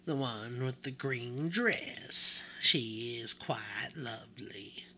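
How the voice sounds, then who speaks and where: A man voicing a gentle older grandmother who speaks slowly in a soft whisper. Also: mid-range voice, exaggerated voice. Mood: pleased.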